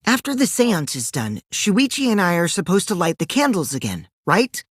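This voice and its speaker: A man's voice: high voice, huge pitch swings, normal speed, a bit bright, breathy edge, no echo, clean studio sound, heavily acted. Theatrical mischievous teen boy, wildly swooping voice. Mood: casual, chirpy. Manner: theatrical, swinging from bratty teasing to sinister taunting. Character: mischievous, lying, childish teenage boy, self-styled evil supreme leader. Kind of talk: English video game voice acting.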